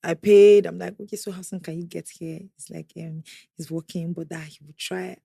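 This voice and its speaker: A young woman, speaking candidly and softly as an interview guest, her voice often trailing off quietly. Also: on the low side, steady tone, moderate pace, vocal fry, husky, no room sound, studio quality. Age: young adult. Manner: soft-spoken, trails off quietly, laughs between lines. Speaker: guest sharing testimony.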